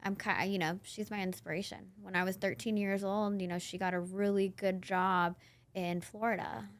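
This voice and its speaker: A woman in her thirties from Northern Virginia, a podcast guest telling her story in a chatty, animated way and laughing often. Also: mid-range voice, normal speed. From Northern Virginia, USA. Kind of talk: casual interview chat.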